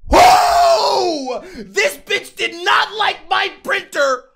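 A man's voice: very high-pitched, wildly swooping pitch, extremely slow, creaky, a bit bright, raised voice. A man in his thirties reacting to a game in a profane, exasperated way. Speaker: gamer.

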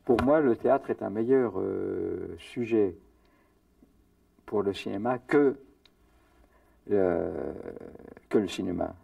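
An older man talks casually in an indoor interview, hesitant and amused, often pausing with fillers. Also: fairly low pitch, gravelly, no echo. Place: indoor room. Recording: dull, slightly distant, dry.

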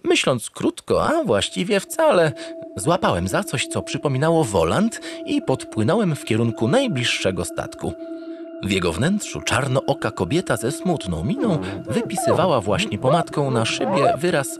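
A man's voice: mid-range voice, huge pitch swings, normal speed, music in the background. A man narrating a sci-fi comedy audio drama in a theatrical, playful way, as a cheerful, self-satisfied, dim-witted spaceship captain. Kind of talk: comedic first-person scripted narration.

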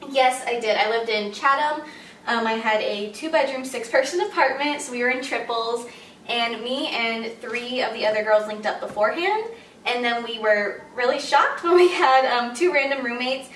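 A woman's voice: fairly high pitch, moderate pace, fairly bright tone, a little hiss or hum. A young woman answering interview questions on the phone in her kitchen, upbeat and chatty, with many fillers and little laughs. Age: young adult. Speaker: job applicant in a phone interview. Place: home kitchen, indoors. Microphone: far camera on a tripod while she talks on a phone. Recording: roomy kitchen sound from a distant camera.

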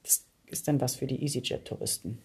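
A woman chatting informally as a podcast guest, often correcting herself, with a husky voice. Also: rumbling low, brisk, crowd noise. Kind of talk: casual chat.

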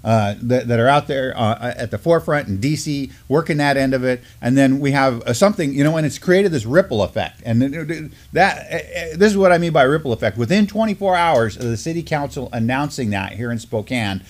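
A man's voice: medium pitch, expressive, brisk, few pauses. A middle-aged man with an American accent co-hosts an informal news discussion, seated at a desk in a small studio. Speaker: co-host of a Native news live stream. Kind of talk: informal news discussion, partly reading articles aloud.

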